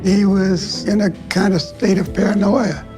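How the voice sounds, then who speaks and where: A man with a high voice recounts events earnestly, repeating his phrases. It comes from a documentary clip. Music plays behind him. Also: level tone, unhurried. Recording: distant clip over music.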